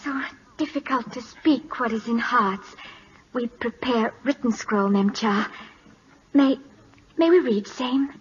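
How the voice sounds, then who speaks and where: High, halting young pupil. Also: female voice, slight background noise. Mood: shy. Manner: halting, careful reading. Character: shy, formal, devoted young Siamese pupil. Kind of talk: 1940s radio acting. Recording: dull old radio, music behind.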